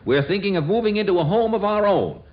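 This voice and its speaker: An actor playing a boastful, pompous windbag of a husband in 1940s radio comedy, speaking in a grandiose, blustering way. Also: male voice, high voice, expressive, fast talker. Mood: airy bragging. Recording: muffled, noisy 1940s radio recording.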